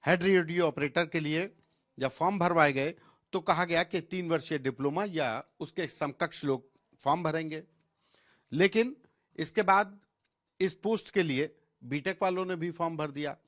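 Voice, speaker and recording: A man's voice: fairly high pitch, moderate pace, fairly bright tone. A man narrating a scripted television news report as a reporter.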